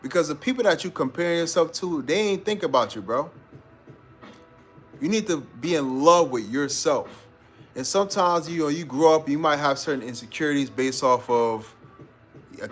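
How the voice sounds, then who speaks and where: A man's voice: fairly high pitch, normal speed, fairly bright tone, faint music behind. Laid-back New man, motivational chat. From New York. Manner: laid-back, slangy, calls listeners bro. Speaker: live-stream host. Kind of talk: casual motivational live-stream chat.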